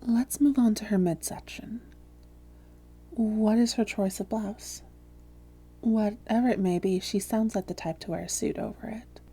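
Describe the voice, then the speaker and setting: A woman playing a seductive, smug vampire who poses as a hypnotherapist, speaking softly and slowly, often whispering, in an ASMR roleplay. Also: medium pitch. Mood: soothing, hypnotic. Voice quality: soft, breathy, often whispered. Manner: slow, coaxing, deliberate.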